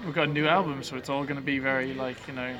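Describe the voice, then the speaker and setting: A man's voice: medium pitch, steady tone, quick pace, crowd noise, poor audio. A man being interviewed as a band musician, chatting casually in a joking, rambling way. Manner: jokey, rambling.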